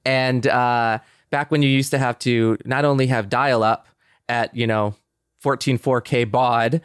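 A man's voice: mid-range voice, steady tone, a little slow, smooth, dry recording, clean studio sound. A middle-aged American man hosting a podcast in a conversational style. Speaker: podcast host. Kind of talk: casual podcast chat.

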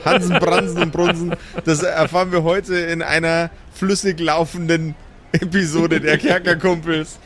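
A man's voice: fairly high pitch, little pitch movement, normal speed, a bit bright. A man running an improvised role-playing podcast as game master, narrating casually.

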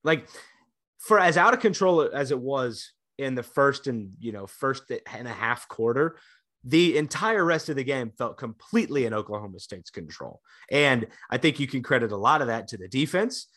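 A young man co-hosting a sports podcast, excitable and chatty with lots of fillers, a bit congested. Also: medium pitch, moderate pace. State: congested from illness. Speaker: podcast co-host. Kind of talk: casual sports podcast chat.

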